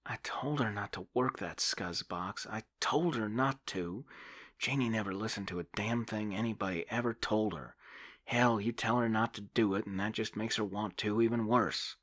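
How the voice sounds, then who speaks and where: A man's voice: fairly low pitch, moderate pace, a bit bright, dry recording, voice pushed up. A man doing a tough, smoky Southern young woman. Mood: brash. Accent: Deep South American. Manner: tough and blunt, swears.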